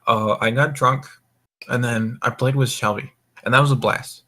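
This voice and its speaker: A man's voice: mid-range voice, fairly even intonation, moderate pace, pushed and bright. A young man hosting a gaming voice call, rambling casually in a laid-back way and swearing often. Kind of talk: casual group chat, rambling. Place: online voice call.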